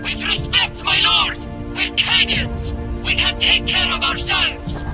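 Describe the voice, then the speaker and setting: A tough soldier major from a war game, very high in pitch. She is forceful, urgent and proudly defiant, heard over loud music. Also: slow pace, full character voice. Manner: forceful, shouted military urgency. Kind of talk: cinematic video game acting.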